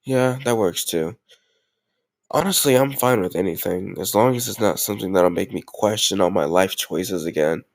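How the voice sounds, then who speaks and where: A man's voice: sits low, normal speed, no room sound. A man playing a tough gang leader who is a playful, doting boyfriend in an intimate roleplay audio, teasing and mock-grumbling affectionately. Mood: playful banter.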